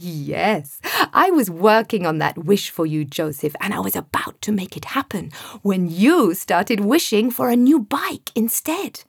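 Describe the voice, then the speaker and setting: A woman voicing a kindly male genie who speaks in a calm, gentle, knowing way. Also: mid-range voice, unhurried, gravelly, husky, dry recording, studio quality, full character voice.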